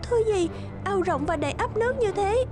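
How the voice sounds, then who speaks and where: A woman voicing a sweet, meek young maiden in an animated fairy tale, plaintive and polite. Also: extremely high pitch, fairly quick. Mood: tearful, despairing. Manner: plaintive, polite, soft-hearted. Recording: music under the voice.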